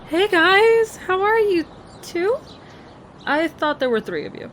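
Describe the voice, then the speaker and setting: A woman's voice: very high-pitched, sing-song, slow, fairly bright tone, a little hiss or hum, exaggerated voice. A woman acting as a teasing, easygoing older sister in an audio roleplay, with playful, exaggerated reactions.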